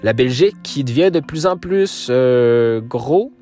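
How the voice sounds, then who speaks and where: A man's voice: mid-range voice, expressive, a little slow, warm tone, faint music behind. A man from Quebec with a Quebec French accent, hosting his podcast and talking casually, with many fillers and restarts. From Quebec, Canada. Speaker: podcast host. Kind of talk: casual solo podcast outro.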